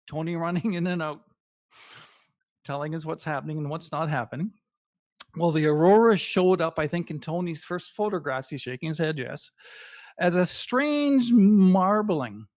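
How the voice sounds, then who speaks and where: A man with a fairly high voice lectures to an astronomy club audience over his slides. English is his second language, and he speaks in a measured, emphatic way, pausing between phrases. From Canada. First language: not English.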